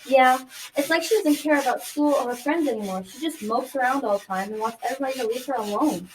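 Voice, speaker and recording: Girl as an earnest fast-talking granddaughter. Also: high voice, lightly acted. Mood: worried. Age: young teenager. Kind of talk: scripted school radio drama. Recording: distant mic with background noise.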